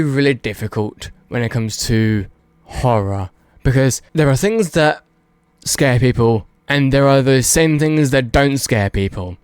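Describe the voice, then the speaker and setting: A man's voice: medium pitch, smooth, dry recording. A man giving casual, unscripted video commentary, rambling and hedging as he goes and repeating words for emphasis. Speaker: video host.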